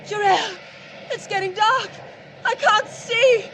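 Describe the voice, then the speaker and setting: An actress playing a terrified alien mother on a collapsing planet, with drawn-out, wailing melodrama in 1940s radio style. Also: very high-pitched, a bit bright, exaggerated voice. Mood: panicked. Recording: noisy vintage 1940s radio recording.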